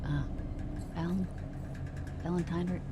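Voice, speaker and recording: A woman's voice: lowish voice, fairly even intonation, slow, heavy background noise. A woman playing an earnest, quippy and slightly awkward companion in an audio drama. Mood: concerned, warm.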